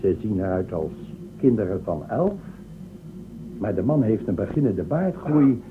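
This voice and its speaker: An actor playing a haughty, mocking emperor of an underground space empire in a Dutch radio drama, commanding and pompous. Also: male voice, lowish voice, lively intonation, unhurried. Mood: mocking, sceptical. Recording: tinny phone-like band, loud background noise.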